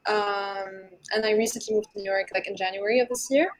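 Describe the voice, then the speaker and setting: A woman's voice: medium pitch, steady tone, a little fast, poor audio. A woman in her twenties who grew up in Lebanon, introducing herself casually with fillers as a podcast guest. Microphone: video call.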